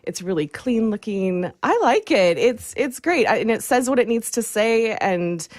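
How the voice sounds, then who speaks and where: A woman's voice: on the high side, lively intonation, moderate pace, darkish tone, no room sound. A woman chatting casually as a guest in a radio interview. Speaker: guest, graphic designer.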